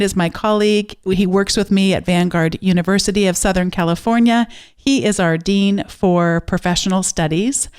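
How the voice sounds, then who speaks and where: A woman's voice: medium pitch, a little mellow, smooth. A woman hosting a podcast interview, speaking in a friendly, conversational way. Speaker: podcast host.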